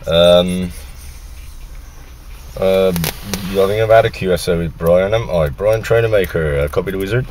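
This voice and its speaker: A man's voice: low-pitched, moderate pace, a little mellow, a little hiss or hum, poor audio. A man making casual amateur radio contacts, working down a list in radio jargon. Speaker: radio operator, video maker.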